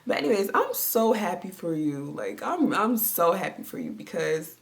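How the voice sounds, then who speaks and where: A woman's voice: fairly low pitch, big pitch swings, normal speed, creaky. A young woman with a congested voice, chatting in a rambling, filler-heavy way as she reads viewer comments from home. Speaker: vlogger. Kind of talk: casual chat reading viewer comments. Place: at home, lying down.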